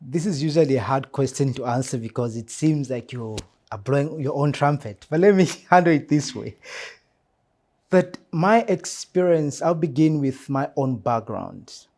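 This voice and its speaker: A man with a Zambian accent giving earnest interview answers as a church mission secretary, measured and emphatic, repeating phrases for emphasis. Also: medium pitch, lively intonation, darkish tone.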